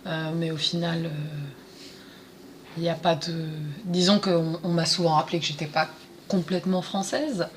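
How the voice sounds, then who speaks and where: Hesitant young woman, low voice. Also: fairly even intonation, normal speed, a little hiss or hum. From France, Paris suburbs. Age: 20s. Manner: hesitant, many fillers and restarts. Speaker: interview guest. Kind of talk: casual conversational interview.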